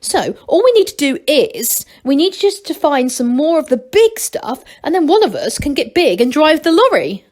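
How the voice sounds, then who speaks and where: A woman voicing an excitable, naive young gnome in a storybook, breathless with enthusiasm. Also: high-pitched, normal speed, few pauses, pitched above the performer's own voice. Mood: excited.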